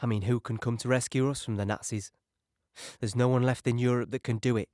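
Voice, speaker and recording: A troubled British soldier acted by a man tells his war in an earnest, conversational monologue for an audio play. The voice is medium in pitch and recorded very dry, with a sombre, reflective manner. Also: steady tone, a little fast, a little mellow, no echo, lightly acted. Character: sombre, conscience-troubled British soldier recounting the Normandy campaign. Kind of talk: dramatic first-person monologue in an audio play.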